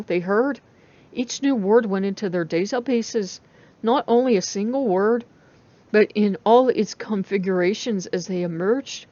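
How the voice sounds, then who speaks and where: A woman's voice: mid-range voice, dark tone, muffled recording. A woman reading a story aloud as a dramatic audiobook narrator. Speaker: story reader.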